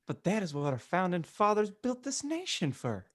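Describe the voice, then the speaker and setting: A man's voice: sits high, sing-song, moderate pace. A man voicing a pious, indignant young schoolboy in an amateur fan fiction reading.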